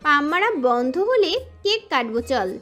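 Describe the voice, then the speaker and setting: Woman doing sweet young girl in a story drama, high n very lively. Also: moderate pace, soft background music.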